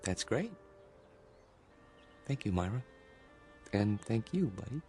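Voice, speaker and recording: An actor playing an earnest, vulnerable psychiatric patient in a radio drama, with a mild, hesitant manner. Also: male voice, fairly low pitch, very animated, slow pace, darkish tone, soft background music. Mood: subdued, polite.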